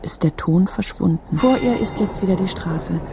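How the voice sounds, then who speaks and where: A woman narrating a literary audio drama expressively, often repeating phrases and turning breathy at moments. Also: lowish voice, normal speed, background noise.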